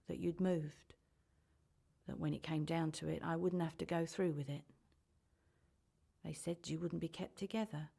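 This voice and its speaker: A woman's voice: lowish voice, creaky, dark tone. An actress playing a regretful, anxious middle-aged birth mother in a radio drama monologue.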